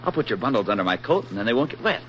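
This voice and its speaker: Breezy, animated young soldier. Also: male voice, mid-range voice, racing. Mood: exuberant. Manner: folksy, eager, talkative. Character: affable, breezy young army private on furlough. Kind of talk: 1940s radio drama acting. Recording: muffled, noisy old 1940s radio recording.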